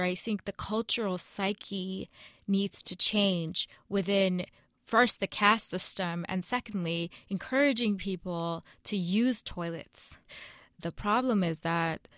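A young woman correspondent explaining a reported story in an earnest, measured way during a news interview. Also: fairly low pitch, a bit bright, no echo, clean studio sound.

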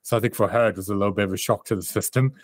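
A man's voice: fairly low pitch, fairly even intonation, very fast, no room sound, studio quality. A man in his thirties from South Africa, a performance coach and author answering interview questions in a candid, reflective way over a remote call.

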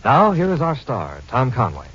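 A man's voice: medium pitch, huge pitch swings, normal speed, breathy edge. A man with an American accent reading scripted credits as a 1950s radio announcer. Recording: muffled vintage radio with loud music.

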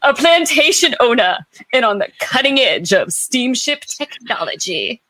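A woman's voice: fairly high pitch, very animated, unhurried, pushed and bright, no room sound, heavily acted, poor audio. A woman playing a proud, boastful eldest son of a plantation family in an amateur dramatic reading. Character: proud eldest plantation boy, heir to his father.

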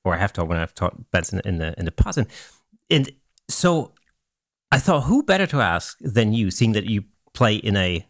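A man hosting a casual podcast, German by birth, talking in an animated way with frequent laughs and restarts. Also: fairly low pitch, moderate pace, dry recording, clean studio sound. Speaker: podcast host.

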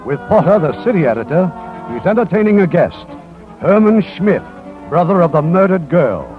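Male radio drama narrator, formal, theatrical, animated pitch, thin period sound over organ music. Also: normal speed. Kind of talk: 1950s radio scripted narration. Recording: very tinny vintage radio recording.

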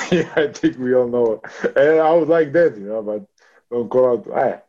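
A man's voice: medium pitch, normal speed, mellow, lo-fi sound. Swearing man in his thirties, laughing often. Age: 30s. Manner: rough, swearing, laughs often, calls others brother. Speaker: boxer. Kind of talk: casual interview.

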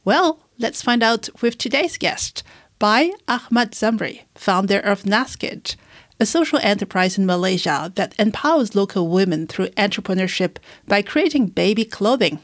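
A woman's voice: mid-range voice, expressive, normal speed, dry recording, studio quality. A woman hosting an interview, reading a scripted introduction, then asking questions as an attentive, encouraging listener. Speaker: podcast host.

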